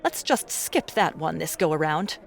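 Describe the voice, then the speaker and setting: A man's voice: fairly high pitch, expressive, normal speed, vocal fry, voice pushed up. Brash, money-hungry young woman, played by a male narrator; pushy and demanding. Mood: impatient.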